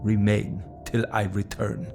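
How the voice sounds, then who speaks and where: A slow, gravelly old professor. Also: male voice, lowish voice, little pitch movement, over music. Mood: grave, secretive. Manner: deliberate, earnest, quaint non-native phrasing. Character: solemn, tender older male foreign professor and surgeon. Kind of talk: dramatic audio drama acting.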